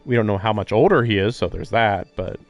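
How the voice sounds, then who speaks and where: A man giving casual live-stream commentary on a game, thinking aloud in halting, musing fragments. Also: fairly low pitch, creaky, highs cut off. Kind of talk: casual live commentary on a visual novel playthrough. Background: music.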